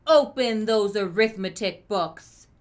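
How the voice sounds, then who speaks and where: A woman's voice: medium pitch, slow, natural voice. A woman voicing an unpleasant, strict, witchy substitute teacher in a children's storybook, snapping and hissing her commands. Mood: stern, snapping. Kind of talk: children's storybook read-aloud.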